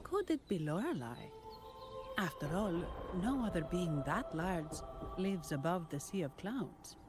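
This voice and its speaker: A woman's voice: on the low side, animated, unhurried, music in the background. A woman voicing an inquisitive, level-headed young adventurer in a video game, talking matter-of-factly. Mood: curious, pondering. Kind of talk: video game character dialogue.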